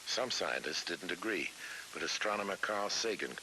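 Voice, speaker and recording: A middle-aged man narrating a scripted science documentary in a measured, dramatic way, with weighty pauses. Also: deep voice, animated, pushed and bright, poor audio. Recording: hissy old film soundtrack.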